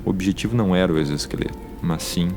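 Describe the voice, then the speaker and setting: A scientist with a mechanical arm in an audio drama. His voice sits fairly low, and he recounts a wartime past in formal, deliberate and wordy phrasing. Prominent music plays under him. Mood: reflective, explanatory.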